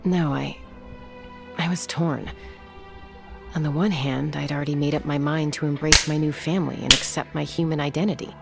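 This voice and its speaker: An actress voicing an earnest young woman raised among saurians, speaking in a soft, measured, reflective way. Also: sits low, steady tone, gravelly, loud music behind the voice. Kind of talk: game voice acting.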